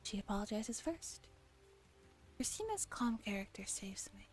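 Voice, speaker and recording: A soft-spoken woman streamer reading a visual novel aloud in a relaxed ASMR style over music. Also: mid-range voice, unhurried. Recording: music behind the voice. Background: soft background music.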